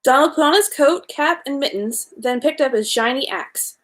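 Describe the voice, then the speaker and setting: High-voiced woman reading a bedtime story. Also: normal speed. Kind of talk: storybook read-aloud.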